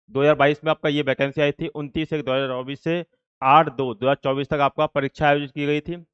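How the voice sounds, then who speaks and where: A man's voice: on the high side, smooth, dry recording, lo-fi sound. A man explaining exam updates to students as a presenter, in an informal, repetitive way, reading out notices and figures. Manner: repetitive, filler-heavy explaining that addresses students directly. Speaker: exam-update presenter for an online coaching channel.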